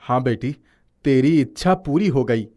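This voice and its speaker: A woman's voice: very deep voice, animated, unhurried, warm tone, voice pushed down. A woman voicing a humble, affectionate village father in a storybook tale, plain and fatherly.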